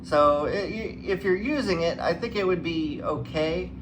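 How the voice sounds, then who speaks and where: Higher-voiced man, rambling over clear noise. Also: unhurried. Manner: rambling, thinking aloud. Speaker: flight simulator guide host. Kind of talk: casual hands-on commentary. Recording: clearly noisy room background.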